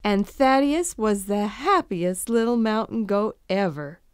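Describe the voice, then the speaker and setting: A woman narrating a children's storybook in an expressive, deliberate storytelling manner. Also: mid-range voice, clean tone, dry recording, clean studio sound.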